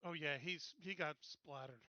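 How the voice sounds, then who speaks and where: A man's voice: a little high, a little slow, bright, forceful tone, dry recording, lo-fi sound. A man talking casually as a player in a tabletop roleplaying game.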